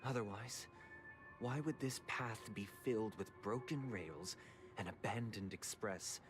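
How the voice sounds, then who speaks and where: Low solemn young guy acted by a man, philosophical big brother in a video game, formal and measured, music playing loud behind. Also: natural voice. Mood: solemn persuasion. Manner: measured, deliberate, formal phrasing. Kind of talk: dramatic video game dialogue.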